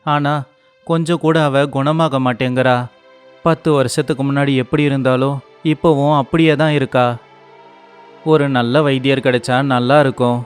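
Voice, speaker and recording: Poor humble rickshaw guy in a moral story man doing it, earnest n gentle, medium pitch, music low behind. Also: fairly even intonation, moderate pace, pauses often, smooth, lightly acted. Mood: worried. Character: poor, devoted, humble male rickshaw driver.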